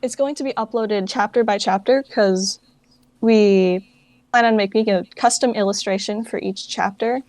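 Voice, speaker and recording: A woman's voice: mid-range voice, moderate pace, a little mellow, no echo. A woman chatting casually as an interview guest, with informal, hesitant phrasing and plenty of "like". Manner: informal, hesitant, filler-heavy phrasing with frequent "like". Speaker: interview guest, founder of a fan dub project.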